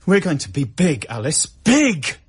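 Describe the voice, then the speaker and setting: A possessive young busker in a radio drama. His husky voice leaps wildly up and down, needy and insistent, quick to flare. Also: fairly high pitch, big pitch swings, exaggerated voice. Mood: grandiose excitement. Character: possessive, volatile young man, a busker with grand plans.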